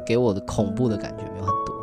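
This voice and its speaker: A woman podcast host with an extremely low voice. She talks quickly and casually over loud music. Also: fairly even intonation. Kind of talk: casual chat.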